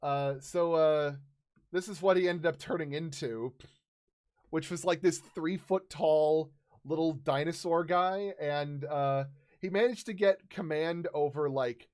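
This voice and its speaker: A man in his thirties casually recounting tabletop game stories as a host, halting and searching for words. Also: fairly high pitch, darkish tone, clear, resonant tone. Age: 30s.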